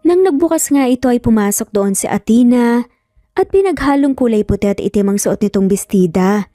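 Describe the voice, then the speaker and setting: A woman's voice: fairly high pitch, lively intonation, a little fast, warm tone, no echo, studio quality, faint music behind. A Filipino woman narrating a scripted romance story.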